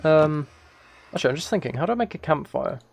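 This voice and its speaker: A man's voice: a little high, animated, fast, vocal fry, a little hiss or hum. A man giving casual gaming livestream commentary, thinking aloud and correcting himself as he chats with viewers. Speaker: live streamer.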